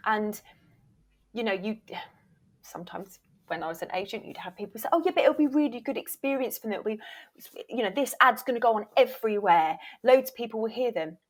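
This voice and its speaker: A woman's voice: on the high side, lively intonation, fairly quick. A woman giving candid, emphatic answers as a guest in a conversational interview. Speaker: podcast guest, voiceover reel producer and former agent.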